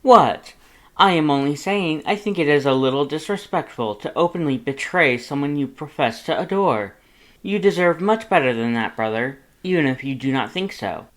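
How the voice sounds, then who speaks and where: Casting: narrator as bombastic skeleton brother, high and loud, theatrical, formal, sugary and self-important. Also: lively intonation, moderate pace, natural voice. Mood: feigned innocent disapproval. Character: bombastic, vain, falsely sweet male skeleton brother.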